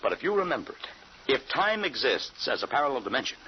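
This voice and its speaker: A man's voice: medium pitch, very animated, brisk, natural voice. An actor playing a cautious, doubting middle-aged father in an old-time radio drama, hesitant and questioning. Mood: troubled, questioning. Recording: muffled, noisy old radio recording.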